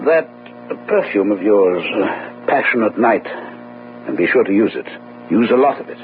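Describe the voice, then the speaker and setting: Commanding gentleman detective, tinny radio sound. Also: on the low side, expressive, a little slow. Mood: sly, suave. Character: shrewd, commanding gentleman detective, urbane and self-assured. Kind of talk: radio drama acting. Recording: muffled phone-like old radio audio, faint noise.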